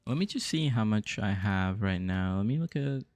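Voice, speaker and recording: A man's voice: lowish voice, lively intonation, normal speed, a little mellow, dry recording. A young adult man from the US East Coast, musing aloud and answering chat during a casual game stream. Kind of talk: casual live-stream chat while playing.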